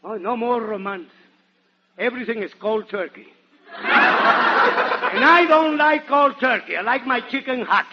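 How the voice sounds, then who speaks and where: A man's voice: very high-pitched, a little slow, pauses often. A man from Nicaragua with a Spanish accent, performing a theatrical comedy monologue on a muffled, hissy 1940s radio recording. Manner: theatrical, animated, exclamatory. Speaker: guest comedian and entertainer on a radio show.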